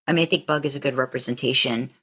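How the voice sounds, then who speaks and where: A woman's voice: very deep voice, rapid-fire, fairly bright tone, husky. A woman co-hosting a podcast, talking in a casual, conversational style. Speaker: podcast co-host. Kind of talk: casual chat and podcast sign-off.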